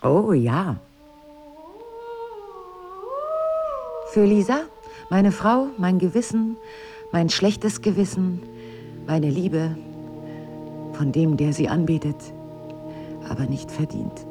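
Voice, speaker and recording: Low, expressive wry wife. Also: female voice, slow, pauses often, over music. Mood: wistful, tender. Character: wry, tender wife of amnesiac husband, quietly wistful. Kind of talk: intimate radio drama acting.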